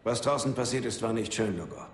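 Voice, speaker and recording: An actor playing a tough, serious army captain in a video game, giving clipped, commanding lines. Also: male voice, mid-range voice, fast talker, breathy edge, natural voice. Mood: grim, firm. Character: tough, resolute army captain leading a squad. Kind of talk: dramatic video game acting.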